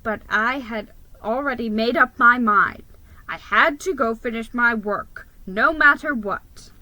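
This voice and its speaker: A woman's voice: fairly high pitch, unhurried, bright, forceful tone, no echo, rough recording. A woman giving a dramatic scripted reading of a children's story as its narrator. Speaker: storybook reader.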